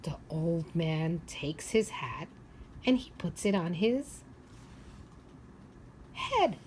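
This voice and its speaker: A woman teacher reading a picture book aloud to young children, expressive and instructive, often pausing to ask them questions. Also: fairly low pitch, a little hiss or hum. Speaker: preschool or primary teacher.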